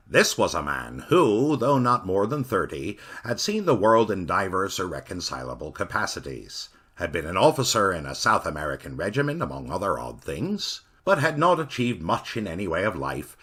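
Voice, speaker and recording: A man reads a Victorian ghost story in a low voice with a husky edge. His pitch rises and falls widely as he dramatizes each turn. The delivery is measured and theatrical. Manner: expressive, dramatic reading. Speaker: narrator.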